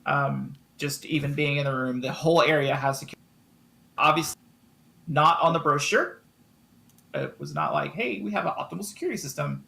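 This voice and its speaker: A man narrating a tabletop game as game master, improvising with frequent ums and pauses. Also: on the high side, sing-song, vocal fry, sharp tone.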